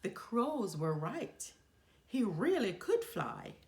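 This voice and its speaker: A woman reading a children's story aloud as a storyteller, with expressive, deliberate delivery. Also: mid-range voice. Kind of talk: expressive read-aloud narration.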